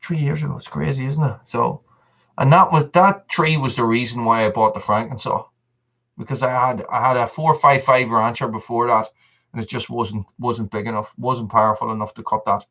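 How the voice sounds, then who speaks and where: A man's voice: medium pitch, fairly even intonation, moderate pace, fairly bright tone, muffled recording. A man, hosting a casual live stream and chatting to viewers. He rambles, repeats himself and laughs often. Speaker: channel host on a live stream.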